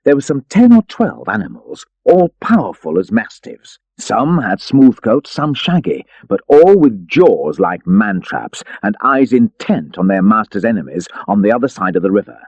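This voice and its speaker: A man reading an adventure story as an audiobook narrator, in an expressive, theatrical storytelling manner. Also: fairly low pitch, normal speed, few pauses, husky, no room sound, muffled recording.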